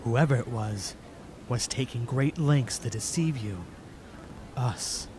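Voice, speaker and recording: A determined young swordsman hero played by a man in an audio drama, serious and intent. He speaks slowly at a medium pitch over clear background noise. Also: natural voice. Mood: grim resolve. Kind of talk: fan audio drama acting. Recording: clearly noisy amateur recording.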